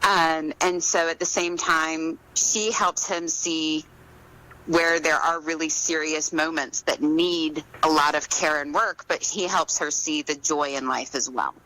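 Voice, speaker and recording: Deep, bright-voiced woman in interview. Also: fairly even intonation, a little slow, rough recording. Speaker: author being interviewed. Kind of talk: casual, reflective interview talk.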